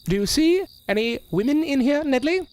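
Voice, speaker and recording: A man's voice: very high-pitched, animated, moderate pace, darkish tone. A man playing a bitter, menacing, washed-up stage entertainer in a theatrical style for an audio drama. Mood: irritated.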